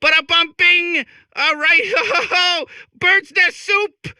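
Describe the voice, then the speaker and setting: A man's voice: extremely high pitch, very slow, vocal fry, pushed and bright, no echo, studio quality, full character voice. A man voicing a zany, desperate comedian robot in a video game, stammering through showbiz patter and giggling at its own jokes. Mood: manic, self-amused. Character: manic, desperate, hacky comedian robot cracking itself up. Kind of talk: improvised-sounding comic video game acting.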